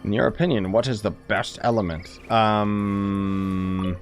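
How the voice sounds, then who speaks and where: A man's voice: fairly low pitch, fairly even intonation, takes it slowly, soft background music. A man giving casual, slangy live commentary as he plays a game and chats with viewers. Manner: informal, slangy, exclaims and chuckles while playing. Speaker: gaming streamer.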